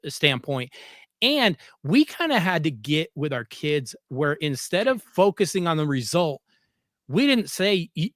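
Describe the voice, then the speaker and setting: A man's voice: on the high side, fairly bright tone, dry recording, studio quality. A man hosting a conversational interview, emphatic and repeating phrases to stress his points. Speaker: podcast host, former coach.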